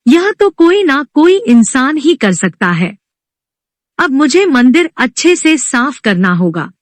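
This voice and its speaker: A pious male Brahmin priest from a devotional storybook, voiced by a woman. He is easily scandalized and implores reverently, very slowly. The voice is fairly high and closely recorded. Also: dry recording, full character voice.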